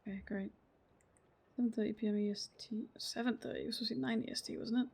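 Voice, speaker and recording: Deep-voiced woman with gravelly fry. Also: quick pace. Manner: relaxed, swears casually, self-deprecating, laughs often. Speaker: streamer. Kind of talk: casual livestream chat while playing. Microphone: desk mic moved a little further from her face.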